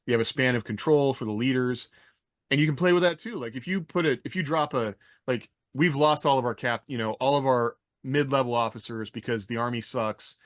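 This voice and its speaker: A man's voice: mid-range voice, a little fast, no echo, clean studio sound. A man talking casually on a podcast panel, explaining things in a roundabout, conversational way. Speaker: podcast panelist, former army officer. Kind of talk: casual discussion.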